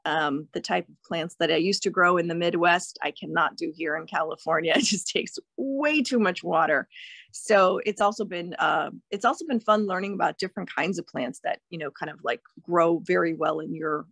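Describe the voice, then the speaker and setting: A woman's voice: on the low side, fairly quick, gravelly, a bit bright, no room sound. A middle-aged woman from Croatia, a guest in a casual interview, cheerful and laughing often as she talks. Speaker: guest, plant seller.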